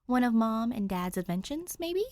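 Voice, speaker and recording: Bossy big sister, firm and scolding, read by a woman, lively inflection. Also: on the high side, normal speed, dry recording, clean studio sound, pitched above the performer's own voice. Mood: reasoning.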